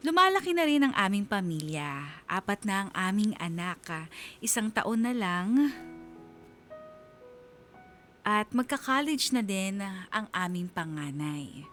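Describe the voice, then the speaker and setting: A woman's voice: mid-range voice, sing-song, slow, pauses often, fairly bright tone, faint music behind. A woman radio host reading a listener's letter as heartfelt, dramatic scripted narration.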